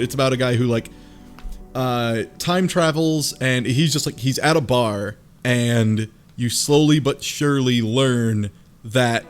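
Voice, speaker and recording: A man on a casual podcast chat, rambling through an idea out loud with plenty of fillers. His voice sits at a middle pitch. Faint music plays behind him. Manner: rambling, thinks aloud with many likes. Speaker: podcast co-host. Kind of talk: casual chat about fiction.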